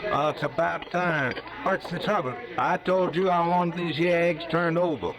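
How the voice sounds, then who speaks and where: A man's voice: a little high, moderate pace, crowd noise, natural voice. A man playing a rude, half-drunk cowboy customer in a 1950s radio drama, bossy and demanding.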